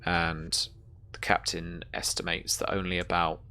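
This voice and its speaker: A woman narrating a tabletop sci-fi horror game as game master, halting as if searching through notes. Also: very deep voice, level tone, sharp tone, a little hiss or hum.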